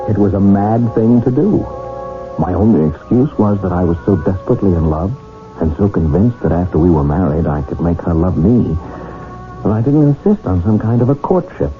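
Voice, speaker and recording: An actor playing an earnest, lovestruck young gentleman in a radio drama, speaking formally and ardently. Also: low voice, lively intonation, moderate pace. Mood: wistful narrating. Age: young adult. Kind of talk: radio drama acting with first-person narration. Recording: muffled vintage radio recording, music behind.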